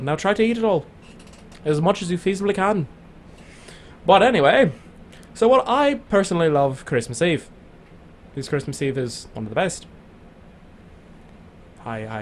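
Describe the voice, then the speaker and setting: Playful high-voiced young man. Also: slight background noise. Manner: playful, jokey, rambling. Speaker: radio show co-host. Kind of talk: casual banter.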